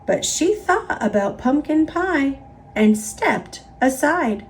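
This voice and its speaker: A woman with an American accent narrating a children's picture book in an expressive, emphatic read-aloud style. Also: sits high, takes it slowly, slight background noise, poor audio. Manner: expressive, emphatic, repetitive build-ups. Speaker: storybook narrator.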